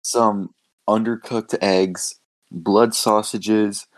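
A young man with a low voice trades teasing jabs, laughing between lines in a playful mock-patriotic act. He sounds close and dry, with no room around him. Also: fairly even intonation, drawn-out words, dry recording. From United States. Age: young adult. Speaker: podcast co-host. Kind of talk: casual chat among friends.

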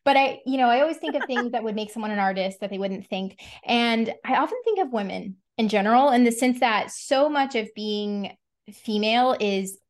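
A woman's voice: fairly high pitch, expressive, normal speed. A woman hosting a casual interview, affirming her guest and reflecting at length on her own ideas. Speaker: podcast host.

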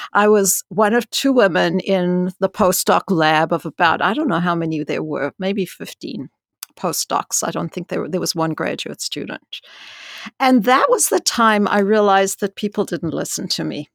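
A woman who is a dean and scientist, telling her story frankly in an interview with a loud voice, peppered with "you know" and rising for emphasis. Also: on the low side, creaky, dry recording, studio quality.

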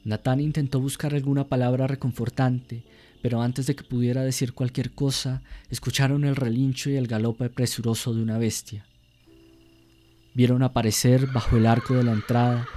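Mellow, even-toned male radio drama narrator. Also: mid-range voice. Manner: measured, solemn, descriptive.

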